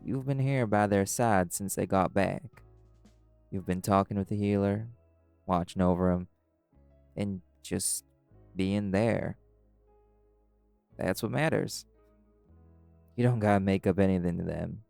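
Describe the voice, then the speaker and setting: A man playing a calm, caring were-dog pack alpha in an audio roleplay, gently reassuring a friend. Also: low voice, unhurried, gravelly, pauses often, clear, resonant tone, no echo, soft background music. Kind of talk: audio roleplay acting, intimate comforting talk.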